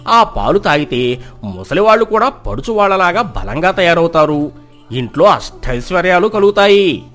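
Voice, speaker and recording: A man playing a boastful, animated village trickster. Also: high voice, moderate pace, soft background music. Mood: persuasive, pious. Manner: boastful, persuasive. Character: cunning, lazy young village trickster, smooth-talking.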